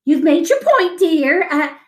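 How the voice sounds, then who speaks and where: A fussy storybook mother dragon as a woman reader puts her on. The voice is very high, shrill and nagging, full of swoops. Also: big pitch swings, takes it slowly, roomy sound, heavily acted. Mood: scolding.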